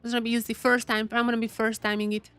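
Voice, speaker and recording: Bright, high-voiced woman streaming a game. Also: little pitch movement, very fast, faint music behind. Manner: repeats phrases while thinking aloud. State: getting over a cold, voice wearing out. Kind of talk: casual live gameplay commentary to chat.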